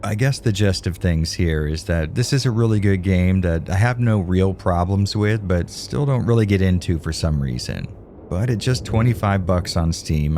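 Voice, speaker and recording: A man's voice: low voice, darkish tone, a little hiss or hum. A man narrating a video game review voice-over in a casual, candid way with a lightly wry edge.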